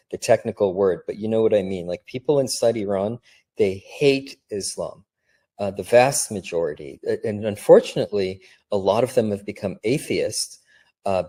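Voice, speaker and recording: Male co-host, low mellow voice, addresses the viewers directly. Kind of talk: talk show commentary.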